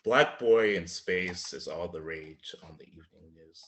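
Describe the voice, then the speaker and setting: Male poet, low register, live reading of his own work. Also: a little slow, fairly bright tone. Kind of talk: live poetry reading with spoken introductions. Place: indoor room in front of a slate wall, online reading.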